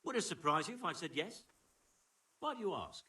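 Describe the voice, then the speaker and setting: A man's voice: on the high side, big pitch swings, a little fast, pushed and bright, distant mic. An actor playing a wary, grumbling middle-aged medieval tradesman in a video game, speaking in a guarded way. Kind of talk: video game dialogue acting.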